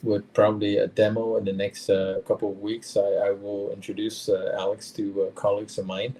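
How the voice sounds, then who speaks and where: A middle-aged man speaks in a low, fairly monotone register, his tone mellow and soft-edged. He talks casually and hesitantly as an interview guest, often pausing on fillers and restarting his sentences. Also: slight background noise. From France. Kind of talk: casual conversation.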